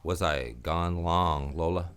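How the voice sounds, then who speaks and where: Man acting a low-pitched hard-boiled private eye. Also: fairly even intonation, slow pace. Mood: probing, wry. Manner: world-weary, laconic. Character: hard-boiled male private detective, cynical, protective of a woman. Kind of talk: radio play acting with first-person noir narration.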